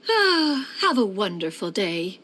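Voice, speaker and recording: A woman's voice: medium pitch, very animated, slow, fairly bright tone, husky, heavily acted, poor audio. A woman voicing a proud, refined female immortal inventor in a video game, speaking in a formal, archaic and haughty manner. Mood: pleased, gracious. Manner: formal, archaic, haughty, calls herself "one". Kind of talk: scripted video game character voice lines.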